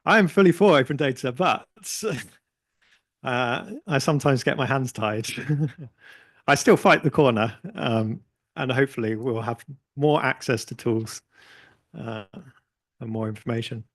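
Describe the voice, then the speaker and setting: A man speaking as a panelist at an industry forum, relaxed and hesitant with many fillers. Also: medium pitch. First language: English. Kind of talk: conversational panel discussion.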